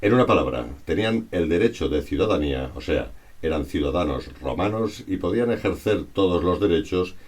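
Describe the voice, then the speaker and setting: A man's voice: sits low, lively intonation, fairly quick. A man reads a scripted historical narration in a formal, expository way. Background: music.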